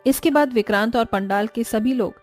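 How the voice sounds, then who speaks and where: A woman tells a scripted moral story as its narrator. Her voice sits a little high, and soft music plays behind her. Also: fairly quick.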